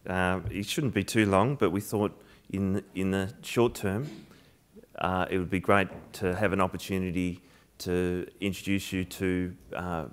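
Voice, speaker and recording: A man's voice: low-pitched, fairly even intonation, a little slow, smooth. A man, chairing a conference and introducing the next speaker with many fillers. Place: large lecture theatre.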